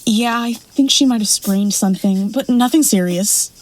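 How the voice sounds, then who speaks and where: A woman playing a protective rancher in an audio drama, steady and reassuring as she takes charge. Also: on the high side, normal speed, slight background noise, lightly acted. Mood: concerned, reassuring.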